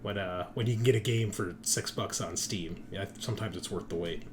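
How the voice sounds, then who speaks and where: A man's voice: sits low, brisk, noisy background. A man hosting a tabletop roleplaying show, chatting casually with slangy, informal enthusiasm. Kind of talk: casual chat, introducing players.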